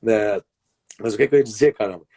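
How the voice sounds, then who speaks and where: A man's voice: on the low side, fast talker, vocal fry, dark tone. A Brazilian man, a wrestling commentator, chatting casually over a video call, rambling and often restarting his sentences. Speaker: wrestling commentator and play-by-play announcer. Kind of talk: casual interview chat over a live stream.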